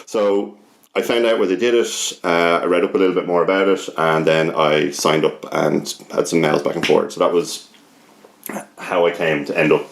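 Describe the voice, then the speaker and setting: A deep-voiced Irish man tells a story in a loose, conversational way. He is self-deprecating, rambles and swears freely. The room echoes clearly around him. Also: steady tone, moderate pace, a little hiss or hum. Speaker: podcast guest. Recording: roomy, echoing podcast recording.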